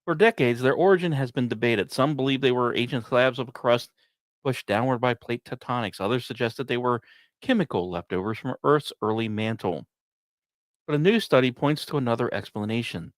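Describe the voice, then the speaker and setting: A man hosting a podcast, reading scripted science narration in a measured, explanatory way, recorded close. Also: mid-range voice, no echo. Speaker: podcast host.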